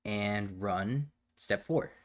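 Low-voiced man walking through a software tutorial. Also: drawn-out words. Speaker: tutorial host. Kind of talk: step-by-step software tutorial narration. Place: indoors at a computer, voice over screen capture.

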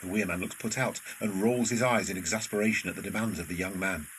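Low-pitched male drama narrator. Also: quick pace, a bit bright, slight background noise, poor audio. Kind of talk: scripted narration of stage directions.

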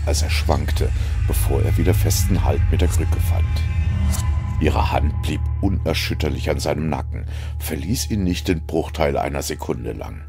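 A man narrating a dark story as a dramatic audiobook, with brooding, theatrical delivery. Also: a bass voice, gravelly. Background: music.

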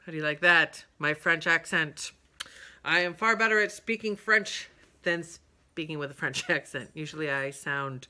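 Middle-aged woman rambling about books on her webcam, slow easy talk, voice goes up and down a lot. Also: low-pitched, pushed and bright. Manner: chatty, rambling, with many ums and uhs. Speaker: book vlogger. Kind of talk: casual book review chat. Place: living room at home. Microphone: webcam at desk distance.

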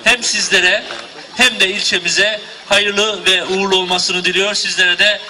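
A man, a local official, giving a formal, measured ceremonial speech outdoors into a handheld mic. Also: sits high, steady tone, bright, forceful tone, far from the mic, slight background noise, poor audio. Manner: formal, measured, many pauses. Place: outdoors at a shop opening.

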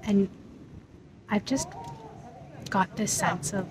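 A woman's voice: mid-range voice, expressive, takes it slowly, background noise. A woman in her thirties chatting reflectively as a travel vlogger, filmed on a handheld phone. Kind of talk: casual travel vlog. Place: outdoors at temple grounds. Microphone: phone camera at arm's length.

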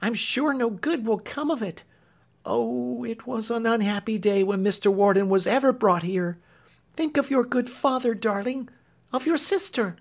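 Homely fluttering maidservant, high-pitched and earnest, put on by a male reader. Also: normal speed, creaky, exaggerated voice. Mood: pleading. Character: flustered motherly servant woman, homely.

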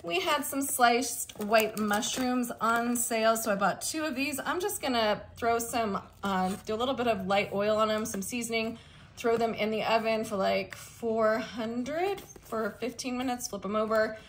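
A woman's voice: a little high, normal speed, slight background noise. A young woman chatting casually as she shows off her groceries, upbeat, with fillers and small laughs. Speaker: vlogger showing a grocery haul. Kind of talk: casual chat. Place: indoors at a kitchen table.

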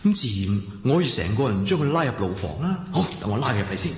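A cocky young martial-arts hero in a radio drama, muttering his plans to himself. His voice swings widely from low scheming to exuberant cries, ringing with long reverb over clear background music. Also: sing-song, fast. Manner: theatrical, talks to himself, chuckles. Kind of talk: Cantonese radio drama acting. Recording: echoey hall-like reverb, music behind.

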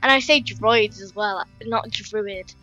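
A young woman casually commenting on a game while reading its dialogue aloud, rambling and croaky from just waking up. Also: medium pitch, slow, sharp tone, soft background music, low-quality recording. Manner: chatty, rambling, reads game text aloud. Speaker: gaming YouTuber.

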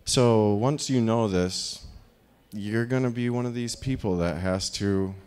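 A man in his thirties with a very low, deep voice speaks into a handheld microphone on a dark stage. He rambles through a live talk, leaning on fillers and restarts as he goes. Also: a little slow, clear, resonant tone. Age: 30s.

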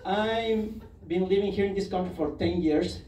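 A man in his thirties from Ecuador with a Spanish accent, doing an animated, self-mocking stand-up set on a club stage with a handheld mic. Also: high-pitched, normal speed, slight background noise. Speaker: stand-up comedian. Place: comedy club stage, indoors. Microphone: handheld mic, filmed from the audience. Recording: roomy club sound taken from far off, loud ambience.

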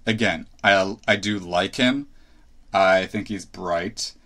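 A man's voice: sits low, slow pace, fairly bright tone. A man in his thirties hosting a solo podcast, thinking aloud with restarts and asides as he gives his opinions. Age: 30s. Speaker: podcast host.

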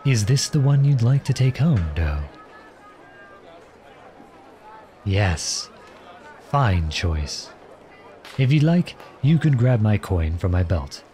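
A man acts out a charming outlaw prince in an ASMR roleplay, with a deep, soft-edged mellow voice. He talks to the listener in a courtly, gently teasing way, with lively swings in pitch. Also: takes it slowly, slight background noise. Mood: fond, easygoing. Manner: courtly, playful, tender.

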